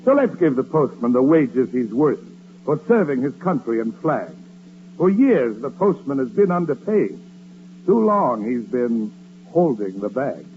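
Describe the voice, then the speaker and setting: An actor playing a pompous, hammy street poet, declaiming rhymes grandly in 1940s radio comedy style. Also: male voice, medium pitch, very animated, a little slow. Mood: solemnly declaiming. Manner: grandiose, theatrical, rhyming declamation. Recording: muffled, noisy vintage radio recording.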